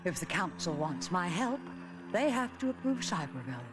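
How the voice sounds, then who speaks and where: A woman playing a ruthless, cold corporate villainess in an animated series, with a commanding, haughty delivery. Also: on the low side, normal speed. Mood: defiant, imperious. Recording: music behind the dialogue.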